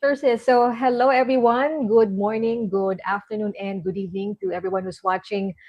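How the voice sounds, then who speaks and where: Filipina guest on a live chat, friendly, keeps calling the host sis. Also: female voice, mid-range voice, steady tone, normal speed, highs cut off. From Philippines. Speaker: interview guest. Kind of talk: live online interview.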